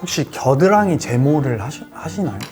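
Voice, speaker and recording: A man's voice: a little high, big pitch swings, moderate pace, darkish tone. Young guy host, polite, curious, giggly, music bed behind. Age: 20s. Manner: curious, polite, laughs often. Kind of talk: casual interview over a meal.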